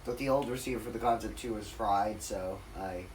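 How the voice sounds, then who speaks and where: A man with a raspy voice rambling through a casual, off-the-cuff demonstration, correcting himself and losing his train of thought. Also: fairly low pitch, fairly quick, a little mellow, far from the mic, a little hiss or hum, low-quality recording. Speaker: ceiling fan collector demonstrating a fan. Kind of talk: casual off-the-cuff video narration.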